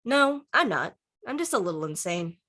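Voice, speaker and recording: A woman's voice: mid-range voice, very animated, moderate pace, bright, forceful tone. A woman reading an introspective, dryly witty teenage boy in conversational screenplay table read dialogue. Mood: dry, reflective.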